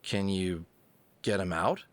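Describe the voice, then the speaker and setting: A man's voice: low-pitched, slow, no echo. A man playing a sheepish, well-meaning fox adventurer in an audio drama, talking in a casual, wry, hesitant way. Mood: apologetic.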